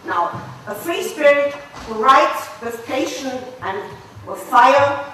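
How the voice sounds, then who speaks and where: A woman organizer giving a formal introduction to a lecture, halting and correcting herself now and then. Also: high-pitched, expressive, pushed and bright. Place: large lecture hall. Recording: echoey hall, distant mic, faint noise.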